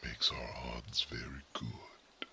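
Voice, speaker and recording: A man voicing an ancient, wise male guardian who is not human, in an ASMR roleplay. He whispers his grave, measured counsel softly. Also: rumbling low, little pitch movement, full character voice. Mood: solemn counsel.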